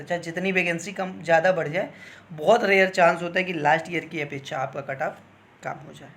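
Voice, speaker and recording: A man's voice: fairly high pitch, fairly bright tone. A man gives an informal, instructive explanation as a coaching teacher, often checking that listeners follow. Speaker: exam coaching teacher advising candidates. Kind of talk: informal explanatory talk on exam cut-offs.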